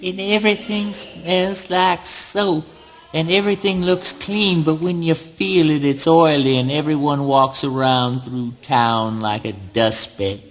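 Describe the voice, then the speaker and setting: A man's voice: a little high, fairly even intonation, a little slow. A man playing a strange, grandiose misfit in a theatrical spoken word play, with obsessive, repetitive, stammering delivery. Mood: dreamy, brooding. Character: Eugene, strange grandiose male misfit, menacing and childish. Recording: noisy early-nineties amateur audio play.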